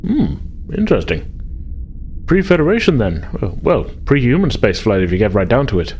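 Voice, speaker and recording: A man's voice: medium pitch, very animated, fairly quick, a little mellow, a little hiss or hum, lightly acted. A man voicing an absent-minded, breezy starship engineer who hems, haws and muses hesitantly. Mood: musing. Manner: hesitant, musing, hemming and hawing.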